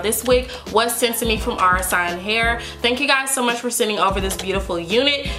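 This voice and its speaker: Stuffy-voiced woman chatting about wigs. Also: medium pitch. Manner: chatty, filler-heavy, addresses viewers as "you guys". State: stuffy, congested from a cold. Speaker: beauty vlogger. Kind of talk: casual wig review chat. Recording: echoey room with background music.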